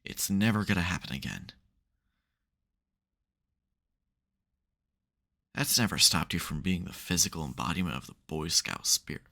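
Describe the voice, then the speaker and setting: A man performs a male supervillain for an intimate ASMR roleplay. His voice sits very low, with a heavy gravelly creak. He comes across as wry and exasperated, with a caring streak under the theatrics. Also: expressive, quick pace, pushed and bright, exaggerated voice.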